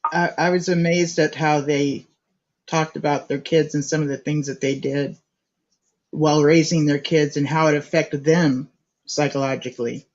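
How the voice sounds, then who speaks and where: Man, somewhat high voice, sincere, gushing, muffled call audio. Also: fairly even intonation, a little mellow. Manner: gushing and sincere, slow and heartfelt. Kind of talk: casual call-in chat.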